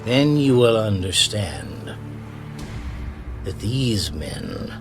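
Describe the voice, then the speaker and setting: Casting: an aggrieved, commanding biblical prophet, very slow and declamatory, music underneath. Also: male voice, fairly low pitch, big pitch swings, heavily acted. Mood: solemn, resolute. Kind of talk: dramatized scripture acting.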